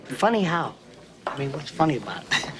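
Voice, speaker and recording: A man's voice: on the high side, big pitch swings, brisk, a crowd in the background. An actor playing a volatile male gangster who presses with confrontational questions, heard as a film clip replayed from a distance. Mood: probing.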